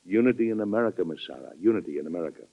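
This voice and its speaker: An actor playing a measured, conciliatory president in an old radio drama, on a tinny vintage recording. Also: male voice, sits low, animated, creaky, full character voice. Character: calm, conciliatory male president. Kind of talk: 1940s radio drama acting.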